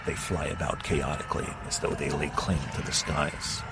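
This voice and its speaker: A man narrating a horror audio drama in the second person with steady, measured storytelling. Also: rumbling low. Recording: loud music score under narration.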